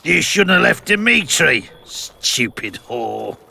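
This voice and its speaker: A man's voice: on the high side, very animated, takes it slowly, gravelly, bright, forceful tone, heavily acted. A man voicing a coarse, jeering soldier in a video game. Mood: jeering, hostile. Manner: coarse, taunting. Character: brutal, jeering rough soldier, grown man.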